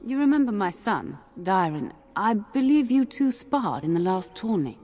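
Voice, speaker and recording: A woman's voice: mid-range voice, big pitch swings, moderate pace, a little mellow. An actress playing a gracious, flirtatious middle-aged noblewoman in a fantasy game. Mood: playful, gracious. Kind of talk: scripted game voice acting. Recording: music under the voice.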